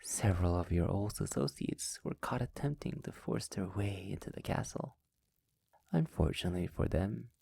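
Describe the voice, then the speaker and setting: A man's voice: deep voice, a little mellow, exaggerated voice. A man acting a sadistic, possessive vampire master in an intimate ASMR roleplay, speaking in a silky, teasing, condescending manner that often slips toward a whisper. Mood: cold, gloating. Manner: slow, teasing, often dropping into breathy whispers; silky, formal, condescending endearments. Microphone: close mic in a dry, quiet space.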